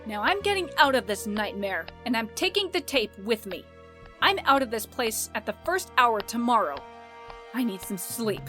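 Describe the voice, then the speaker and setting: Woman acting a haughty high-voiced space princess. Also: very animated, normal speed, a bit bright, faint music behind. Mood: weary, bitter. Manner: scolding, articulate, theatrical indignation. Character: haughty, furious young space princess fed up with companions. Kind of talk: animated comic dub acting.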